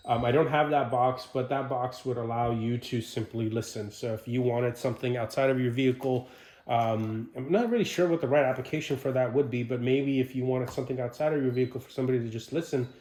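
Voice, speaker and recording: A man's voice: medium pitch, darkish tone. Man giving an informal walkthrough, echoey room. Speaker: presenter of a military radio equipment walkthrough. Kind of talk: informal instructional demonstration, unscripted. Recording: roomy, echoing sound.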